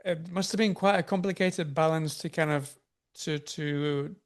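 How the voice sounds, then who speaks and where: A man's voice: a little high, moderate pace. A man hosting an interview, asking curious, rambling questions with frequent restarts. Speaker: interview host.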